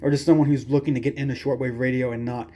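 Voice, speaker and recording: Male product reviewer, soft-edged warm timbre, mid-pitched, informal and rambling, hesitant delivery. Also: flat delivery, fast. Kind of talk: casual hands-on product review.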